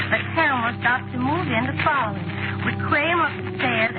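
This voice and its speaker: An actress playing a practical, matter-of-fact studio secretary in an old-time radio drama. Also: female voice, a little high, close to an everyday voice. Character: practical, no-nonsense female studio secretary looking after her boss. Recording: very noisy, muffled vintage radio recording.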